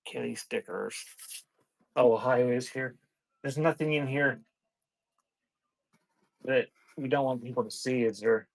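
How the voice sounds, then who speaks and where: A man with a medium-pitched voice hosts a casual live selling stream. He talks in a loose, scattered way, thinking aloud as he goes. The sound is dry and close, with very little room in it. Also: measured pace, vocal fry, pauses often, no echo. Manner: rambling, scattered, thinking out loud. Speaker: live-stream seller hosting a sale and unboxing.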